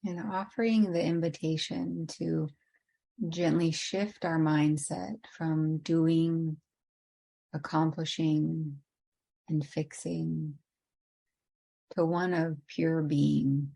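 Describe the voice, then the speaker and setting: A woman leading a guided meditation in an unhurried, gently inviting way with long pauses. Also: low voice, little pitch movement, gravelly.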